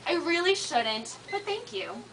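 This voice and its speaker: A woman's voice: very high voice, very animated, a little slow, vocal fry, pitched above the performer's own voice, heavily acted. A woman voicing a naive young storybook princess, polite and apologetic. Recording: distant mic, light background noise.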